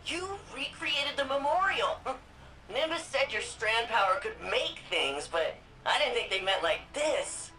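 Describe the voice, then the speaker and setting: An actress voicing a spirited, nerdy young woman archivist in a video game, quick and chatty. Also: a little high, animated, pushed and bright, soft background music, low-quality recording. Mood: playful. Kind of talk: video game dialogue and narration.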